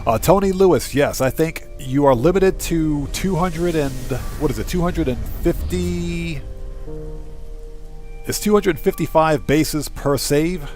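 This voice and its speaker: A man hosts a live game stream in a fairly high-pitched voice. He comments casually and addresses his viewers directly, often repeating a phrase to drive it home. Music plays faintly behind him. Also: unhurried, a little mellow. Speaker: live streamer and channel host.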